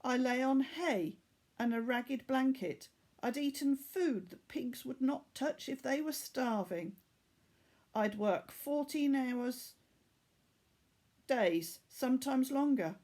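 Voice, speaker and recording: A woman reading the part of a weary, earnest boy from Bangladesh in a storybook, telling his story in a measured way. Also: fairly high pitch, darkish tone. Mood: sorrowful.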